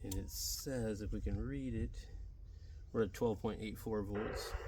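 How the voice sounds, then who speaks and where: A man with a fairly low, very even voice talks through a hands-on hobby demonstration. He is plainspoken and rambles casually as he sets up gear. The outdoor recording is clearly noisy. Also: a little slow. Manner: plainspoken, rambling, talking while setting up gear. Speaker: amateur radio hobbyist demonstrating portable gear. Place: outdoors in a backyard. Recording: noisy outdoor recording, uneven mic distance.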